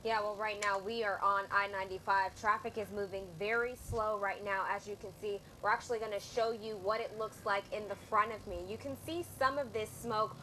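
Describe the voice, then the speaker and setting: A woman reporting live on traffic from beside a highway, explaining steadily what viewers are seeing. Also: fairly even intonation, brisk, few pauses, sharp tone, low-quality recording.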